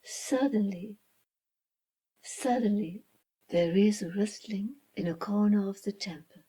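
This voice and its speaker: A woman reading a children's story as its author, in a dramatic storybook style with hushed, breathy phrases and deliberate pauses. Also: mid-range voice, rough recording. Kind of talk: slow dramatic storybook narration.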